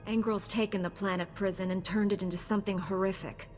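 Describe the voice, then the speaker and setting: An actress playing a composed, resolute young Jedi knight in a video game. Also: female voice, mid-range voice, fairly even intonation, fast talker, soft background music, close to an everyday voice. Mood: determined. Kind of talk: video game cutscene acting.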